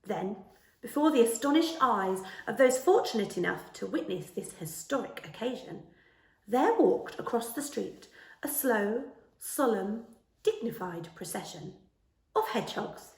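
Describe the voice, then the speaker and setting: A woman's voice: medium pitch, lively intonation, a little slow. A woman in her thirties reads a children's story aloud with careful, dramatic expression. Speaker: storyteller reading a children's book. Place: small living room, indoors. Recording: roomy indoor echo.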